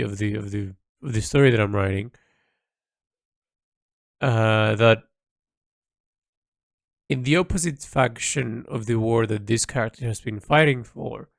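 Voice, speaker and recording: A man recording an unscripted, reflective audio log, speaking in a halting, rambling way as he thinks aloud and repeats himself. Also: medium pitch, creaky, no room sound, studio quality. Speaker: audio log diarist, creative hobbyist.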